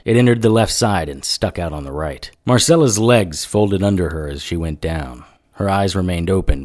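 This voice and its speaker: An actor playing a wry, hard-boiled monster hunter in a noir audio drama. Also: male voice, sits low, darkish tone. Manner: wry, sardonic. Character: cynical hard-boiled male monster hunter, wisecracking and crude. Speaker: narrator and lead actor.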